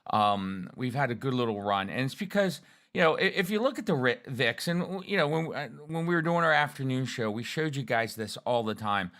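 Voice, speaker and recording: A man talks through a market week on a live trading stream, hoarse and raspy from a cold. His voice is bright and forceful, and he talks with loose energy and plenty of fillers. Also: medium pitch, brisk. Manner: energetic, folksy, lots of fillers. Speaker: trading show guest. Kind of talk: live market commentary chat.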